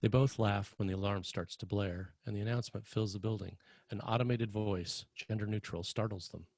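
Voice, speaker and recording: A man narrating scene directions for a screenplay table read in a scripted style, with a husky voice. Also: lowish voice, steady tone, fairly quick, a little mellow, no room sound. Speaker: narrator reading stage directions.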